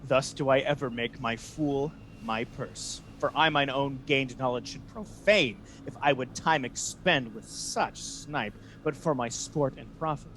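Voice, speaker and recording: A man's voice: medium pitch, a little slow, hardly any pauses, fairly bright tone, slight background noise, natural voice, low-quality recording. A man playing a scheming, resentful Venetian ensign in a Shakespeare radio play, persuasive and theatrical. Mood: gloating.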